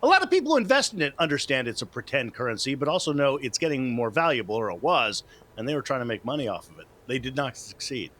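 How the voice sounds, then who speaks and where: A man's voice: mid-range voice, big pitch swings, fast talker, fairly bright tone, slight background noise. A man presenting a news report as an anchor on a broadcast.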